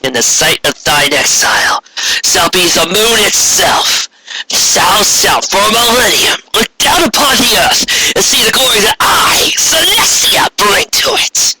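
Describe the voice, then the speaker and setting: A man's voice: sits high, animated, unhurried, over loud music, pitched above the performer's own voice, low-quality recording. A man voicing an imperious, self-righteous elder female alicorn queen in a fantasy story, speaking in grandiose, haughty archaic phrases. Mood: grandiose, condemning.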